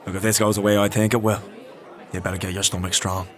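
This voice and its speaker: World-weary male scavenger, audio drama. Deep, sharp-edged, profane, blunt, unimpressed. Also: level tone, fast talker, some chatter behind. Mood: wary warning. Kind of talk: dark fantasy audio drama acting.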